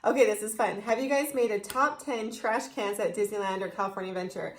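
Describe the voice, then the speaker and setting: A woman's voice: medium pitch, fast talker, crowd noise. A young adult woman co-hosting a fan vlog, reading and answering questions in a casual, playful, teasing way, recorded indoors at about arm's length. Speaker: co-host of a theme park fan vlog. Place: indoors, small room in front of a backdrop. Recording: echoey indoor room.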